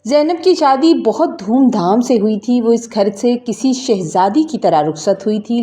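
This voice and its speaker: Mellow-voiced woman telling an emotional story. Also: mid-range voice. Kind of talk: scripted narration of an emotional story.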